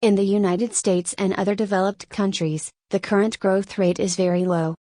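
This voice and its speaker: A woman's voice: medium pitch, fairly even intonation, moderate pace, warm tone, no room sound. Woman reading aloud, dry close sound. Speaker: reader of exam practice passages. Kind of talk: scripted read-aloud narration.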